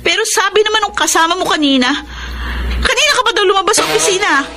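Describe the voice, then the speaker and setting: An actress playing a suspicious wife who questions her husband in a sharp, demanding way. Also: extremely high pitch, expressive, fast talker, slight background noise, exaggerated voice. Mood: accusing. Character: suspicious, accusing jealous wife on the phone. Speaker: radio drama actress. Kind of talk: radio drama acting. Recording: radio drama with music bed.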